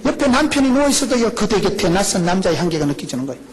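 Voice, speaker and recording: A middle-aged male pastor with a Gyeongsang accent, preaching a lively, joking sermon full of rhetorical questions. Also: high-pitched, racing, husky, poor audio. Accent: Gyeongsang Korean. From rural South Korea. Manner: animated, jokey preaching with rhetorical questions and laughs.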